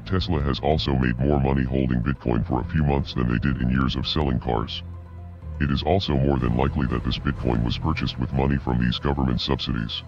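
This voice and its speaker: An extremely low, electronically disguised male voice reads a scripted message. The delivery is measured, formal and accusatory, with music playing underneath. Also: fairly even intonation, a little mellow, dull audio. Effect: pitched-down voice disguise. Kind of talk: scripted video message narration.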